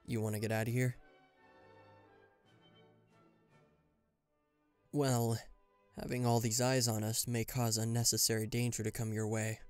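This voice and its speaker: A man's voice: medium pitch, flat delivery, brisk, a little mellow, clear, resonant tone, faint music behind, lightly acted. A man playing a guarded government bodyguard in an intimate listener roleplay, dryly teasing and reluctantly tender. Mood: calm, protective. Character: gruff, guarded male government bodyguard, secretly fond.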